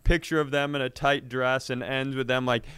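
Guy bantering on a comedy podcast, sweary and sardonic, mid pitch, clean voice. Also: flat delivery, moderate pace, fairly bright tone, smooth, dry recording, clean studio sound. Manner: profane, sardonic storytelling.

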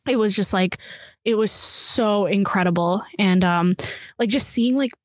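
A woman's voice: medium pitch, measured pace, no room sound. Chatty young woman guest, bilingual Urdu speaker, mid-range voice, dry close sound. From United States. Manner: animated storytelling, peppered with "like". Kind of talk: casual chat.

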